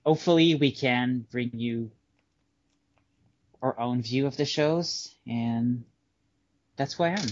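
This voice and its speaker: A man in his late twenties with a French Canadian accent, talking casually on a podcast, a bit halting as he speaks. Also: mid-range voice, dull audio. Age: 20s. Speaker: podcast co-host.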